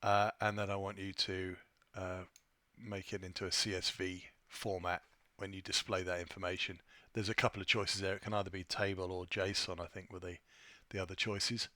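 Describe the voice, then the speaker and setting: A man presenting an informal software tutorial, thinking aloud in a somewhat hesitant way as he demonstrates. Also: deep voice, little pitch movement, moderate pace, no echo. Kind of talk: informal screen-share walkthrough.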